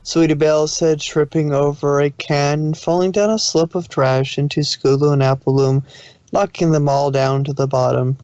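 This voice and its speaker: A man's voice: a little high, steady tone, normal speed, darkish tone, no echo, lo-fi sound. A man reading scripted fan fiction as the narrator of a dramatic story.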